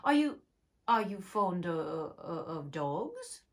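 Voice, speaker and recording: A woman's voice: lowish voice, big pitch swings, slow, full character voice. A woman voicing a polite, curious young girl who chatters earnestly. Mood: apologetic.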